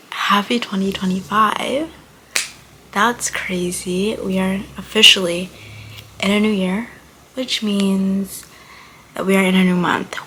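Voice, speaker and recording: A woman's voice: medium pitch, fairly bright tone, a little hiss or hum, low-quality recording. A nineteen-year-old woman from Buffalo, New York, chatting casually as a book vlogger while recovering from illness, her voice slightly off and often dropping to a murmur. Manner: chatty and rambling, frequent drop to a murmur. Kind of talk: casual chat to camera.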